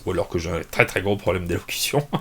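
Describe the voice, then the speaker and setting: Chatty French-speaking man, low voice. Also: fast, fairly bright tone, husky. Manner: chatty, self-mocking, many fillers and restarts. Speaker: comics reviewer. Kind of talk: casual unscripted video review. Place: small home office.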